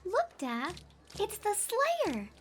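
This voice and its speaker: A woman's voice: extremely high pitch, wildly swooping pitch, extremely slow, fairly bright tone, faint music behind, heavily acted. A woman voicing a wide-eyed little village girl full of childlike wonder in an audio story. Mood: awed.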